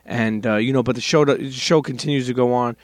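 A man's voice: medium pitch, fairly even intonation, brisk, dry recording. Brooklyn-accented man hosting a podcast. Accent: New York (Brooklyn). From Brooklyn, New York. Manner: loose, street slang, swears, repeats phrases. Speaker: podcast host. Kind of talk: casual podcast chat and ad reads.

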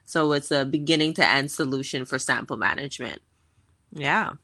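A woman in her thirties from the Bahamas talks as an interview guest, chatty and upbeat. Her voice sits low yet rings bright and forceful. The sound is dry and close. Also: normal speed, no room sound. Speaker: guest, fashion tech founder. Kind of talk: casual interview conversation.

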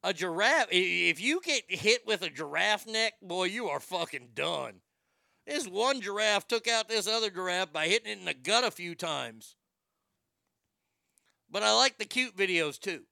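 A middle-aged radio host with a high tenor voice that sounds bright and forceful. His speech comes out smooth and crisp, recorded dry and close. He tells crude, brash stories with plenty of swearing. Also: moderate pace, dry recording. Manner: crude, brash, animated storytelling with profanity. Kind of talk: casual storytelling monologue.

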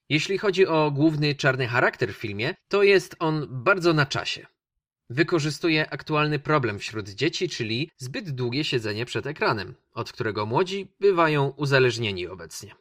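A man's voice: mid-range voice, fairly bright tone, dry recording. Man reviewing films on radio, dry close sound. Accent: Polish. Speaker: radio show host reviewing a film. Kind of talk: scripted film review for radio.

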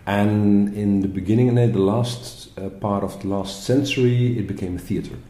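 A man representing a theater venue, explaining its history in an interview with halting pauses and fillers. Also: lowish voice, fairly even intonation, warm tone, reverberant.

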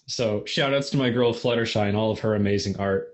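A man's voice: fairly low pitch, brisk. A man of about twenty chatting casually as an interview guest, in a giggly, self-deprecating and slightly nervous way. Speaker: interview guest, amateur fan fiction reader. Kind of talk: casual chat.

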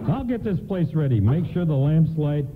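Animated, kindly department store doorman. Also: a little high, normal speed. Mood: reassuring. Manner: avuncular, reassuring, hesitating politely. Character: kindly, patient middle-aged 1950s department store doorman. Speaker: radio play actor. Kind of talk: nostalgic radio play acting. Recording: lo-fi hissy radio play recording.

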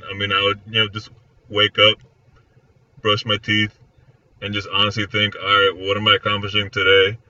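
A man's voice: lowish voice, completely flat delivery, moderate pace, long pauses, bright, forceful tone, dry recording. A man in his twenties with an American accent, talking casually in a conversation. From Florida, United States. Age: 20s. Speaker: recent architecture graduate in conversation. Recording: dry but distant, muffled mic.

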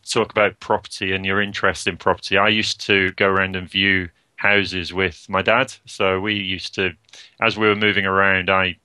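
A young man as a podcast guest, talking casually with many pauses, ums and restarts. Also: lowish voice, sharp tone, no echo. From Scotland, raised in Portugal. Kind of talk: casual interview chat.

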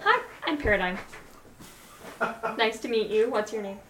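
A woman's voice: a little high, expressive, normal speed, fairly bright tone. A young woman voicing a friendly, chatty young heroine in a tabletop game, eager and a bit awkward. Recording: roomy, distant, noisy.

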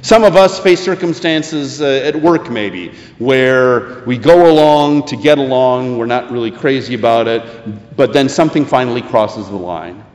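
An American man preaching a sermon in an earnest, deliberate way, leaning on key phrases. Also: medium pitch, a little mellow. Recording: echoey hall with long reverb.

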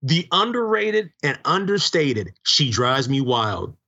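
Man, middle pitch, emphatic, storytelling, casual podcast co-host. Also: very animated, bright, forceful tone, highs cut off. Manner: emphatic, drawn-out listing, storytelling. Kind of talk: casual chat.

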